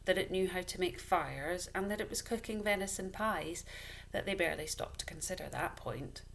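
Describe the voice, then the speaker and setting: A woman reading a children's story aloud, deliberately and with dramatic pauses. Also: fairly low pitch, slight background noise. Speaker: story reader.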